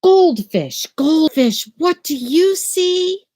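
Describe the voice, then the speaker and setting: An older woman teaching children from home over a webcam, explaining a picture book and then reading it aloud in a gentle sing-song. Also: high voice, expressive, slow. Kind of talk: children's read-aloud lesson. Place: small room at home. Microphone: laptop webcam video call.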